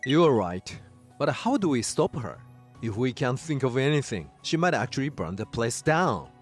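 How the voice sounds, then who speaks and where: Manga-dub boyfriend, played by a man: young, earnest and concerned, medium pitch with very animated inflection. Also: moderate pace, faint music behind. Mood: concerned, agreeing. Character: concerned young male fiancé, disbelieving but supportive. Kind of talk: dramatized manga story dub.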